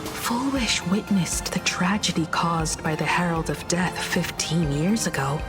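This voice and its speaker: Low-voiced, serious woman. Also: animated, a little slow, over music. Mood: grave concern. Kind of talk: video game voice acting.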